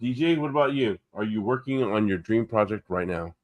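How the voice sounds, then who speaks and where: A man co-hosting a casual art livestream, enthusiastic and quick to agree. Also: lowish voice, lively intonation, narrow, tinny audio. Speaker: co-host and colorist.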